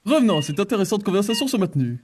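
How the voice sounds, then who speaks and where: A man's voice: high-pitched, sing-song, rapid-fire, background noise. A man voicing a crude, flamboyant demon boss in a cartoon dub, mocking and theatrical. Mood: irritated. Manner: mocking, theatrical, foul-mouthed. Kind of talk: animated cartoon dubbing.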